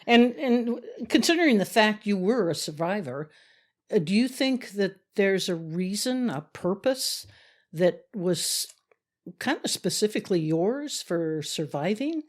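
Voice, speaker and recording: A woman's voice: mid-range voice, big pitch swings, unhurried. Female podcast host from South Dakota, friendly studio interviewer.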